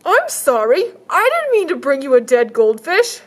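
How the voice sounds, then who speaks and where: A woman voicing an eager male animal shelter worker who pitches odd pets slowly and showily. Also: wildly swooping pitch. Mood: apologetic eager. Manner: slow, pleading, showy salesman pitch.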